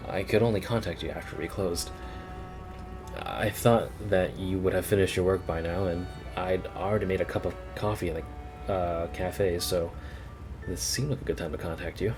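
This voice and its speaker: A devoted young game maid, read out by a man, speaking in a low, gentle voice. The delivery is formal and deferential, polite and soft.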